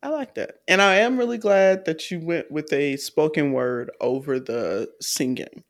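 A woman hosting a podcast in casual chat, lively and teasing. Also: deep voice, unhurried. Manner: lively, teasing, laughs a lot. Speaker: podcast co-host.

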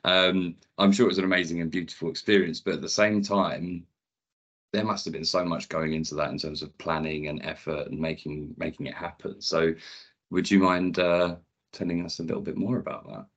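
A man's voice: sits low, fairly even intonation, fairly quick, fairly bright tone, highs cut off. A man hosting a podcast, friendly with many ums as he interviews a guest. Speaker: podcast host. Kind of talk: scripted podcast intro and interviewing.

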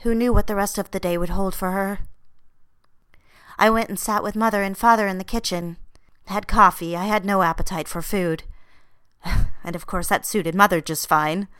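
An actress as an earnest young woman in her twenties in an audio drama, a secretary and dutiful daughter quick to defend herself. Her voice is fairly middling in pitch for a woman and recorded very dry and close. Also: steady tone, fairly bright tone, clean tone, no room sound, close to an everyday voice. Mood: reflective, wry. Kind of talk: audio drama acting with first-person diary narration.

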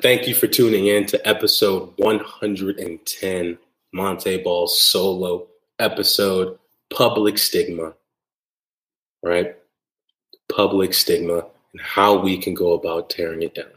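A man speaks in a deep, level voice with little rise and fall, hosting his own podcast. He talks earnestly, circling back over his phrases and tagging them with "right?". The room around him sounds echoey. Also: steady tone, slow, a bit bright. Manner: earnest, repeats phrases for emphasis; frequent tag "right?". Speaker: podcast host. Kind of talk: solo podcast monologue.

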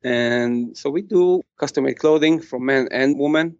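Israeli man, middle-aged, hesitant fillers, smooth resonant voice, dry slightly dull sound. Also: medium pitch, steady tone, no room sound. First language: Hebrew. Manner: halting, many uh fillers and restarts. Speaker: business founder, guest. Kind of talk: interview answers.

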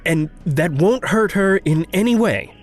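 A woman playing an earnest, anxious young character with a halting manner in an audio drama. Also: on the low side, expressive, soft background music. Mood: anxious, grateful. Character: earnest, hesitant, anxious young person, grateful to friends. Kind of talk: naturalistic audio drama acting.

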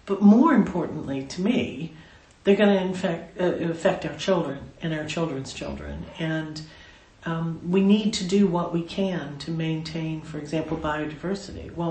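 A woman's voice: sits low, darkish tone. Wry older woman. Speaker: development trust secretary. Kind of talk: conversational interview. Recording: roomy echo.

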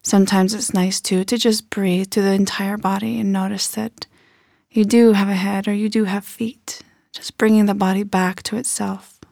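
Woman softly guiding a meditation, dry close sound. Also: medium pitch, flat delivery, normal speed, creaky, dry recording. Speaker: meditation guide. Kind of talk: slow guided body scan meditation.

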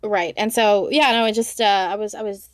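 A woman's voice: mid-range voice, steady tone, moderate pace, no room sound, clean studio sound. A woman hosting a podcast interview in casual chat, with informal, tentative phrasing. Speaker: podcast host.

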